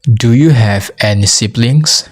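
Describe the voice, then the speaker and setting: A man's voice: medium pitch, unhurried. A man with a Mizo accent, a native Mizo speaker teaching English, who says each phrase twice for learners.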